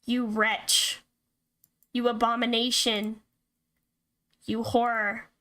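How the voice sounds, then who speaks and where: A woman voicing ominous, accusing spirits of a horror game in a slow, drawn-out, solemn delivery. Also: fairly high pitch, flat delivery, pushed and bright. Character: ominous, accusing spirit chorus haunting a cabin.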